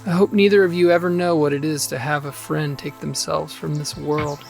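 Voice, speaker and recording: Guy playing a brooding wistful big brother in an audio drama, lots of vocal fry, music behind. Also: fairly high pitch, steady tone, brisk. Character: wistful, brooding elder brother, nostalgic and grave.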